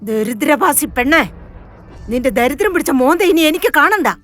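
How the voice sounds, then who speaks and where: A woman's voice: high-pitched, lively intonation, normal speed, fairly bright tone, faint music behind. A woman voicing a cruel, haughty mistress of a house who scolds harshly in a moral story. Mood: furious, contemptuous. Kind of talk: dramatized moral story for children.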